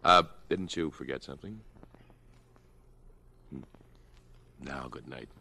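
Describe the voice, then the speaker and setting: Deep, bright-toned salesman suitor. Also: slow, exaggerated voice. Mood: teasing, flirtatious. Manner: teasing, insistent. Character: pushy, playful salesman suitor. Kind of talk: radio drama acting.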